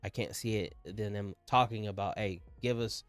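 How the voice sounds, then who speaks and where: Male reaction host, lower register, dry close sound, slangy and repetitive, enthusiastic commentary. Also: moderate pace, smooth, no echo, faint music behind. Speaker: music reaction video host.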